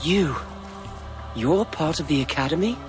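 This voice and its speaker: A high-voiced, stern nobleman. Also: lively intonation, over music. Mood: stern, accusing. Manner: formal, authoritative, measured. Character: dignified young male nobleman, a stern, composed marquis. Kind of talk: dramatic video game acting.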